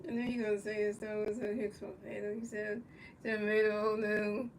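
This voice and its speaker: A woman's voice: medium pitch, flat delivery, moderate pace. A woman from the United States doing casual, repetitive play-by-play for a baseball video game, stumbling over her calls and talking to her chat viewers. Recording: distant mic with faint background noise.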